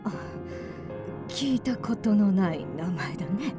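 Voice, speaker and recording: A slow, frail, dying mother. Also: mid-range voice, expressive. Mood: weary, curious. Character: frail, dying mother, weary and tender woman. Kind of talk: radio drama acting. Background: music.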